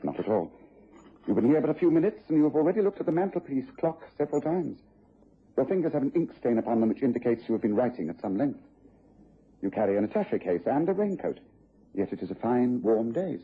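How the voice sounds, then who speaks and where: An assured English gentleman detective for vintage radio. He talks quickly and incisively in a very husky voice, over a thin, narrow-band recording. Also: mid-range voice. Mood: coolly analytical. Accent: English Received Pronunciation. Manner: precise, incisive, formal. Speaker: actor playing lead detective in radio drama. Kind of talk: BBC radio drama acting. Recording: muffled tinny old radio recording.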